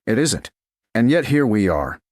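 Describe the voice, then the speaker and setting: A man voicing a restrained, commanding medieval duke in a romance audiobook, speaking quietly and tersely. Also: medium pitch, very animated, unhurried, no echo, studio quality. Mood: quietly intent.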